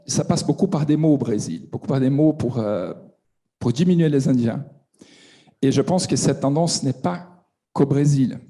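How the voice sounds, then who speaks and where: A man's voice: mid-range voice, warm tone. A middle-aged man from Brazil, asking a hesitant question from the audience with many restarts. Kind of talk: spontaneous question from the floor.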